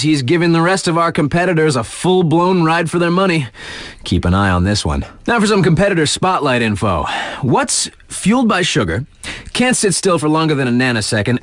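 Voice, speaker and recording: A man's voice: fairly high pitch, no echo. A man playing a hip, cocky young snowboard radio DJ in a video game, delivering hyped, slangy and playful sports patter.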